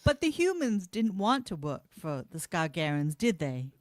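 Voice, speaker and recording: A woman playing a kind, earnest and quietly defiant frontier schoolteacher in an amateur radio play, explaining things in a measured way. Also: lowish voice, big pitch swings, no room sound, clean studio sound. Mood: encouraging.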